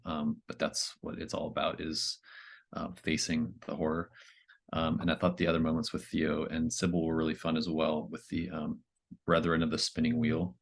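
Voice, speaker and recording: A man with a very deep voice that stays quite flat. He speaks casually, as a player around a tabletop roleplaying game.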